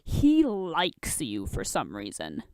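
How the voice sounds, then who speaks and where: A woman voicing a stern, humorless masked vigilante man in a deadpan, curt way, in a fan fiction reading. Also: low voice, dry recording, pitched below the performer's own voice, heavily acted. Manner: deadpan, curt, drawn-out delivery.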